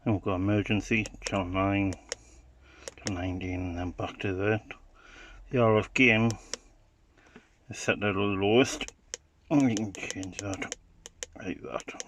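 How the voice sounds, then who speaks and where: A man's voice: lowish voice, steady tone, measured pace, long pauses, a bit bright, a little hiss or hum. A man giving an informal, unscripted gadget demonstration in a hesitant, matter-of-fact way. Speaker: hobbyist product demonstrator.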